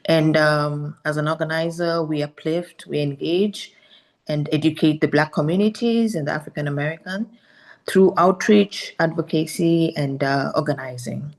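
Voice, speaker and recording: Low-voiced woman organizer. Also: a little mellow. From Nairobi, Kenya. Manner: measured, lists points one by one. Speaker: community organizer, panelist. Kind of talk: webinar self-introduction.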